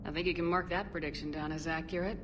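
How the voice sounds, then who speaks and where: A resolute female military commander played by a woman with a notably low voice. She speaks in a measured, terse, sincere way in cinematic game dialogue, with clear ambient noise behind her. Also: fairly even intonation, lightly acted. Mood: wry, warm.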